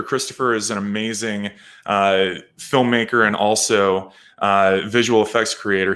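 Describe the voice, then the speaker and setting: Male guest, slightly low pitch, bright forceful tone, casual promotional chat. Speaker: guest documentary maker.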